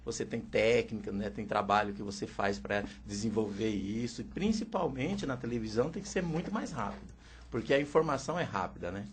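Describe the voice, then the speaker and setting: A man's voice: lowish voice, animated, fairly quick, slight background noise. A man, a teacher and interpreter, explaining steadily in an interview and often adding "né". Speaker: sign language teacher and interpreter. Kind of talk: radio interview answers.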